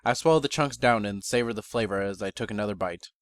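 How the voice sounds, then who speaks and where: A man reading a first-person fantasy story as narrator, in a measured, bookish dramatic reading. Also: on the low side, no room sound, clean studio sound.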